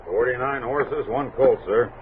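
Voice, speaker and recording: A man's voice: mid-range voice, measured pace, natural voice. Male, American, playing easygoing, dutiful frontier sergeant, mid-range and folksy, on a noisy narrow-band vintage radio recording. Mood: respectful. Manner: folksy, relaxed, respectful. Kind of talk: old-time radio drama acting.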